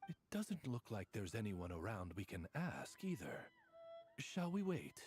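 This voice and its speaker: A man's voice: lowish voice, huge pitch swings, unhurried, a little mellow, soft background music. A man voicing a dignified, loyal raven servant in a video game, speaking in a formal, courteous, butler-like manner. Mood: thoughtful.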